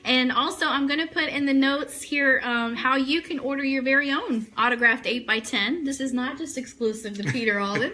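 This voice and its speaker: A woman's voice: sits high, normal speed, few pauses, pushed and bright, slight background noise. A woman hosting a casual fan radio show live, chatting informally and with enthusiasm.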